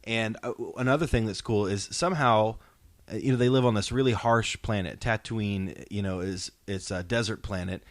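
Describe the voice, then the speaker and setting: A middle-aged man hosts a casual podcast chat. His voice sits on the low side, and the sound is very dry, with no room to it. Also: moderate pace, no echo. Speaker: podcast host.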